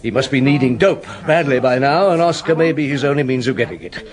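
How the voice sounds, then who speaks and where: A man's voice: mid-range voice, lively intonation, quick pace, a crowd in the background, natural voice. Male, actor playing a methodical chief inspector for radio crime drama; authoritative, probing, widely varied intonation. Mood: brisk, commanding. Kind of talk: radio detective drama acting.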